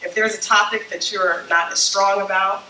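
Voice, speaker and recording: A woman's voice: mid-range voice, steady tone, moderate pace, sharp tone, echoey room, recorded from a distance. A woman with a slightly scratchy voice from a summer flu, giving a workshop talk to a small group and often checking in with "okay?" and "you know?". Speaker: branding consultant speaking to church leaders. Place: meeting room with a small group.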